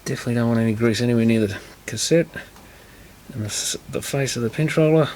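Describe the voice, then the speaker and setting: A man's voice: medium pitch, fast talker, darkish tone, a little hiss or hum. A man giving casual hands-on repair commentary, muttering to himself as he works. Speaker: repair video presenter.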